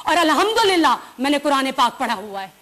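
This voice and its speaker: High-voiced indignant young Pakistani woman. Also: very animated, quick pace, crowd noise. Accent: Pakistani Urdu. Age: 20s. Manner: indignant, confrontational, mixes English words. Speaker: actress guest. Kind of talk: heated TV debate.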